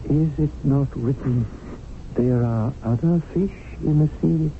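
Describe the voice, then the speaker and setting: A man's voice: medium pitch, lightly acted. Wry, deferential servant-narrator, acted by a man, tinny radio. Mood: humbly deferential. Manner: formal, flowery, measured phrasing. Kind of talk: 1970s radio drama narration and acting. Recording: noisy narrow-band old radio broadcast.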